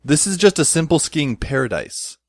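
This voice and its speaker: A man's voice: fairly high pitch, very animated, moderate pace. A man playing a bewildered, wry teenage schoolboy who narrates an audio drama in a wordy, dramatic way. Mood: dazed, wry. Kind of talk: scripted first-person audio drama narration.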